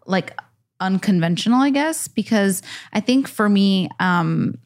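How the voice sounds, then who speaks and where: A woman's voice: mid-range voice, animated, measured pace, darkish tone. A woman guest on a podcast talking earnestly and gushingly, peppering her speech with "like" and "um". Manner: earnest, gushing, lots of 'like' and 'um'. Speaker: guest, TV and radio producer. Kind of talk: casual podcast interview.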